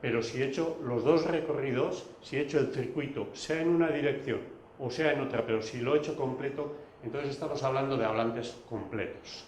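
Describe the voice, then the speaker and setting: A man whose first language is Basque, giving a recorded video lecture, pausing often with fillers and restarts. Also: medium pitch, a little mellow, echoey room, slight background noise. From Basque Country. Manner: hesitant, many fillers and restarts.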